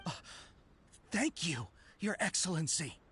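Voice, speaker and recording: Fervent young soldier, wildly expressive. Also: mid-range voice, a little slow, a little hiss or hum. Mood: startled, deferential. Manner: earnest, impassioned, formal. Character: fervent, devoted young soldier, deferential to the commander. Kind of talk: anime video game voice acting. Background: clear background music.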